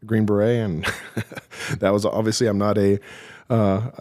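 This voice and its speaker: A man's voice: on the low side, flat delivery, a little slow, a little mellow. A middle-aged military veteran, a man, telling his career story in an interview with dry, self-deprecating humor and many hesitations.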